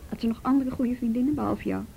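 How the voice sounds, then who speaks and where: An actress playing a brisk, persistent policewoman in a radio drama, with a probing, matter-of-fact manner. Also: a little high, creaky, muffled recording, a little hiss or hum. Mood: gently insistent. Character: brisk, persistent policewoman questioning colleagues and a witness.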